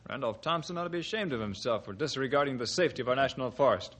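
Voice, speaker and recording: Principled, folksy small-town judge. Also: male voice, mid-range voice, expressive, very fast, fairly bright tone. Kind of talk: 1950s radio drama acting. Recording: noisy old radio drama recording.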